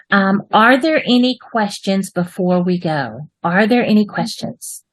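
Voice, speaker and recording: A woman with a Texas twang teaches children over a video call, upbeat and encouraging. Her voice has a mid pitch and sounds close and dry, with no room around it. She likes playful, folksy rhyming goodbyes. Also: no room sound, poor audio. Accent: Texan. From Texas, United States. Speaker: English writing teacher. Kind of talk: online class instruction.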